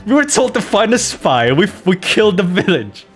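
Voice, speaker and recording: Animated man telling stories over music. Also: sits high, moderate pace, slightly rough. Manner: animated, jokey storytelling with mock-innocent protests. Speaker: streamer playing a video game and host of the channel. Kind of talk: casual anecdotal chat while streaming.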